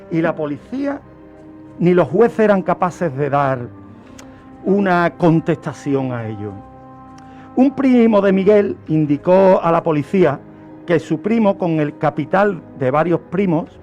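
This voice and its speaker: High-pitched Spanish man telling true crime. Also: darkish tone. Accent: Spanish from Spain. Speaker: radio presenter. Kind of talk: true-crime radio storytelling.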